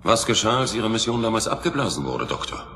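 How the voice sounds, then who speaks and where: A man's voice: on the low side, very animated, quick pace, pushed and bright, slight background noise, exaggerated voice. An actor playing a skeptical, sardonic space crewman in an audio drama, with a dry, blunt manner. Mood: incredulous. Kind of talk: German audio drama acting. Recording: echoey room, faint music behind.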